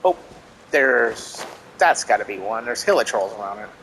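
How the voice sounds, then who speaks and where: Bright-voiced man chatting through a game. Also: lowish voice, big pitch swings, moderate pace, creaky, a little hiss or hum, low-quality recording. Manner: self-deprecating, chatty, mutters to himself. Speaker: let's play gamer. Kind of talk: casual gameplay commentary. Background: game music.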